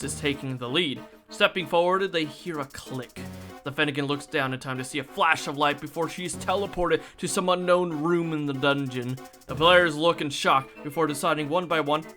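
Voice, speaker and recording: A man hosting as game master, telling scripted tabletop campaign stories in an expressive, jokey way with theatrical emphasis. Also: a little high. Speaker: video host and game master.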